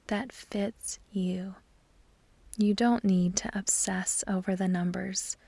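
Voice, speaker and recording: A woman leading a scripted guided meditation in a soft, breathy, whispery voice, soothing and measured as she gives instructions. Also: mid-range voice, no room sound.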